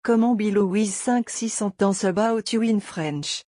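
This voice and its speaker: A woman's voice: mid-range voice, normal speed, mellow, no echo, studio quality. A woman teaching a beginner lesson in a patient, step-by-step way. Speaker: language teacher.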